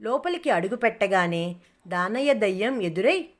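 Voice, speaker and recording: A woman's voice: mid-range voice, lively intonation, moderate pace. A woman storyteller reading a scripted moral tale as narrator.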